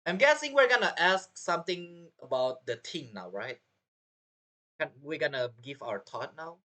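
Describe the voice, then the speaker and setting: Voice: mid-range voice, big pitch swings, normal speed, bright, forceful tone. A streamer giving casual, hesitant, rambling commentary while playing a video game. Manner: hesitant, rambling, self-talking.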